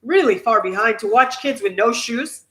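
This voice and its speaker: A woman's voice: fairly high pitch, expressive, normal speed, fairly bright tone. A woman co-hosting a casual live talk show, candidly telling personal stories. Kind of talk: casual live-stream chat.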